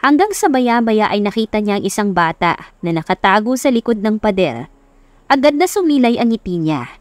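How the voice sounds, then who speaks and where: A woman's voice: mid-range voice, sing-song, normal speed, no echo. A woman reading a scripted love story as an audiobook narrator. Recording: music under the voice.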